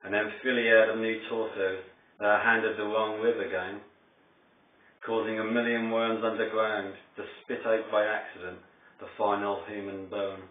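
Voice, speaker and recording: A man reciting his poetry in a solemn, deliberate way, pausing between short phrases. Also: on the low side, level tone. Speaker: poet reading own work. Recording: echoey, distant mic, thin phone-like sound.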